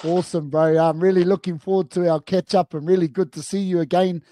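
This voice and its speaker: Upbeat New Zealand radio host, high mellow voice, dry close sound. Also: male voice, steady tone, a little fast, no room sound. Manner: upbeat, mixes te reo Māori greetings into English. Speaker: radio sports show host.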